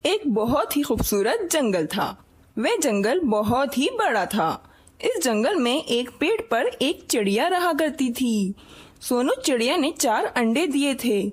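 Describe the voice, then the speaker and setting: A woman's voice: high voice, slightly rough. A woman narrating a scripted children's story as its storyteller.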